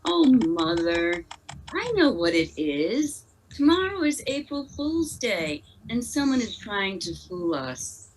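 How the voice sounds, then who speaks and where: A confident, playful young girl, played by a woman, speaks with swinging intonation and an unhurried pace. It is an amateur stage drama with clear noise in the recording. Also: mid-range voice, sing-song, low-quality recording. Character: bold, knowing young daughter. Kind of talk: amateur stage play reading.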